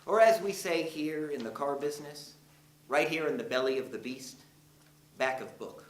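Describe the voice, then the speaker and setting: Male actor as cynical car salesman, world-weary stage monologue. Slightly high pitch, roomy theater echo. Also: normal speed, slight background noise, natural voice. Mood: wry, cynical. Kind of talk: scripted staged reading monologue. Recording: echoey theater, from off.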